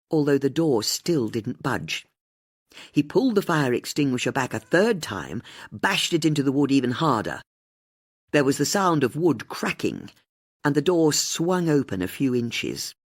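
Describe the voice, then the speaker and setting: A woman narrates a mystery audiobook. Her voice is husky rather than clean, and she reads the scripted prose with very varied intonation. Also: rumbling low.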